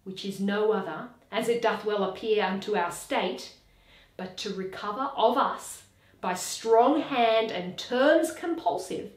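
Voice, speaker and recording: A woman playing a grave, scholarly nobleman in dramatic, declamatory Shakespearean verse, deliberate and with hushed dips. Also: medium pitch, reverberant, natural voice. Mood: measured, explanatory. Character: grave scholarly Danish nobleman Horatio, awed yet commanding.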